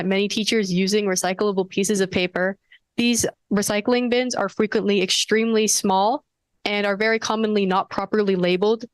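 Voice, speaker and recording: A woman's voice: mid-range voice, clean tone, no room sound. A teenage girl giving an earnest, prepared student presentation at a board meeting.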